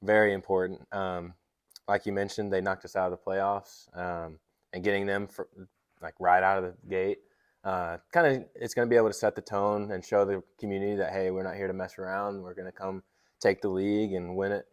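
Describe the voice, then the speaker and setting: A man's voice: low-pitched, little pitch movement, a little fast, clear, resonant tone, dry recording, studio quality. A man in his twenties from North Carolina, a baseball coach giving casual interview answers. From North Carolina, United States.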